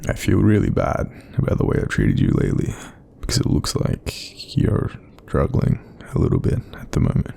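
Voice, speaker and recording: Casting: Australian male actor as a gentle bedside demon, mellow and soft-spoken with lively intonation, self-deprecating and reassuring, for intimate roleplay audio. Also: moderate pace, hardly any pauses, exaggerated voice. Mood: apologetic, soft. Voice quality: very deep, breathy, creaky. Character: kindly, apologetic male sleep paralysis demon comforting someone.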